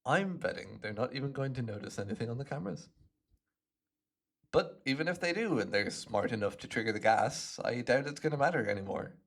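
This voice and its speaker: A man's voice: mid-range voice, lively intonation, vocal fry. A man acting out a smug, chatty imprisoned supervillain with hypnotic telepathy, musing playfully to the listener in an ASMR roleplay. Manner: playful, smug, unhurried musing with little chuckles.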